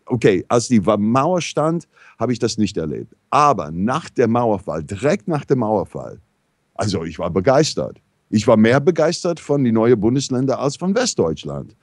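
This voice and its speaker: American man telling lively, rambling anecdotes. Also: mid-range voice, moderate pace, dry recording, studio quality. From New York, USA. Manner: rambling, animated, many fillers and restarts. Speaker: talk show regular. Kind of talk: casual storytelling in a discussion.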